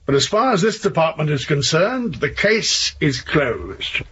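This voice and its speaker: An actor playing a coolly authoritative, evasive police chief, formal and dismissive, in a radio drama. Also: male voice, on the high side, very animated, a little slow, pushed and bright, breathy edge, lo-fi sound, full character voice. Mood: stern, evasive.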